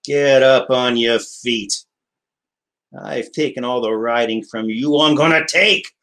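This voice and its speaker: A man's voice: medium pitch, slow, a bit bright. An actor playing a hot-headed young gunman in a radio drama, snarling threats. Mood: furious. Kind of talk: old-time radio drama acting.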